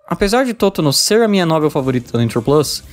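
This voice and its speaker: A man's voice: fairly high pitch, very animated, fast talker. A man with a Brazilian accent reading a scripted video game review in an emphatic, opinionated way. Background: background music.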